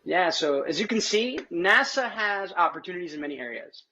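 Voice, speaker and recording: A man's voice: high voice, animated, normal speed, pushed and bright, slight background noise. A man hosting an interview show in an informal, encouraging way. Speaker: host. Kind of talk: upbeat live interview hosting. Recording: dull, lo-fi audio.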